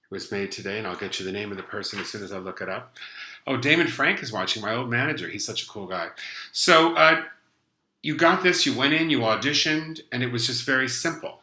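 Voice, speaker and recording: A man's voice: medium pitch, brisk, bright, forceful tone, reverberant. A man hosting his own live talk show, chatty and gushing with enthusiasm. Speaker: host.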